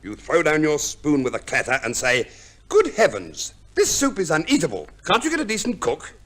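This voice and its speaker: Shrewd male divorce lawyer, 1950s radio drama: pedantic, hesitant, theatrically animated pitch. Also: moderate pace. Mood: relishing instruction. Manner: hesitant stammering, pedantic, precise. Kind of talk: 1950s radio play acting.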